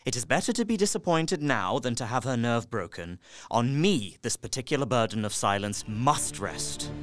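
An actor playing an earnest, weary young Victorian husband reading out his journal in an audio drama, methodical and expressive. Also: medium pitch, normal speed, natural voice. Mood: weary, tender.

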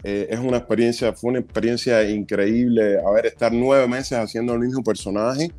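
Cuban actor telling stories, mellow voice. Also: male voice, mid-range voice, normal speed, faint music behind. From Cuba. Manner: animated storytelling with fillers and restarts. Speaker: stage actor, guest. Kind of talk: conversational interview.

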